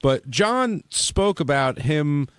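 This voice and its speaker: A man's voice: fairly high pitch, sing-song, slow pace, a bit bright, clear, resonant tone, dry recording. A man hosting an unscripted radio discussion, putting questions and hypotheticals conversationally. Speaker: talk show host.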